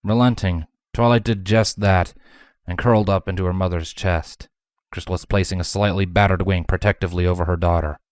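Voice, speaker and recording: A man's voice: sits low, steady tone, brisk, darkish tone, clean tone, no echo, clean studio sound. A man reading a story aloud as scripted narration. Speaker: fan fiction narrator.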